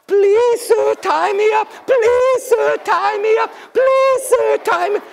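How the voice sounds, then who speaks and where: A man's voice: high voice, moderate pace, vocal fry, noisy background. A man voicing small, frightened, starving woodland creatures with a plaintive, pleading whine in an oral folktale. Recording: echoey hall with long reverb.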